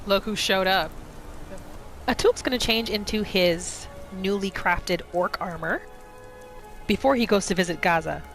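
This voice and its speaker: Female roleplay gamer, medium pitch, third-person storytelling about her character, game music underneath. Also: unhurried, fairly bright tone. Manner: speaks a character's thoughts as storytelling. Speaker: gaming roleplay host. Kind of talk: improvised third-person narration of video game play. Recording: music behind the voice.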